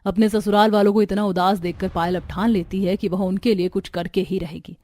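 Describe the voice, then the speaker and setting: A woman's voice: medium pitch, fairly even intonation, a little fast, dark tone, faint music behind. A woman giving scripted narration of a simple cartoon family story.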